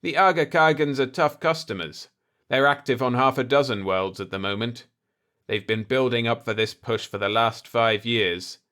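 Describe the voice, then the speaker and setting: A man's voice: medium pitch, moderate pace, pushed and bright, smooth, lightly acted. Man acting a cool space diplomat, shrewd, dry, assured, audiobook dialogue. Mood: firm, informative.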